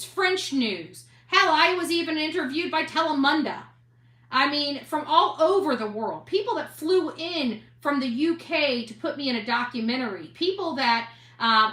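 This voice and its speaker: Bright-voiced, defiant woman in roomy echo. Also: high voice. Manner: defiant, emphatic, blunt. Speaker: online investigative reporter and host. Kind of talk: impassioned monologue to online audience.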